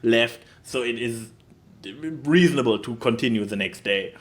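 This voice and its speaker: A man co-hosting a podcast, casually discussing a film in an animated way and acting out bits as he goes. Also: mid-range voice, normal speed. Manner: animated, acts out quotes. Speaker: podcast co-host.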